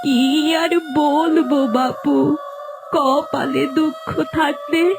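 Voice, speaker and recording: Slow, plaintive old mother-in-law. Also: sits high, steady tone, creaky, music in the background, exaggerated voice. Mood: sorrowful. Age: older. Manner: slow, drawn-out lamenting. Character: sorrowful, meek old village mother-in-law. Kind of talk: cartoon voice acting.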